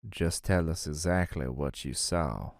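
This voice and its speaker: A man voicing a composed, probing male defense attorney in a formal, measured way, reading video game dialogue. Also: a bass voice, vocal fry, slight background noise, exaggerated voice.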